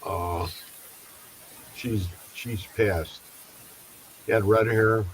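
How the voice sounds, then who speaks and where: Man, show host, rambling storyteller, lowish voice, faint hiss. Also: steady tone, very slow, rough recording. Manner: rambling, hunts for names, trails off. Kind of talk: casual panel chat.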